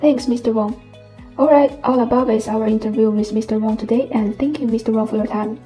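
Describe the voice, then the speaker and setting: A woman's voice: fairly high pitch, steady tone, fairly quick, dark tone. A woman hosting an interview, reading a polished, upbeat script. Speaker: interview host. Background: faint background music.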